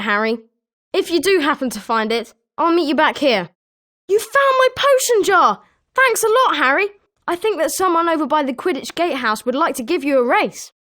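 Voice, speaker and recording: An excitable British schoolboy wizard, voiced by a woman, speaks in a high young voice. The pitch swings freely through eager, scripted video game lines. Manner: animated, expressive.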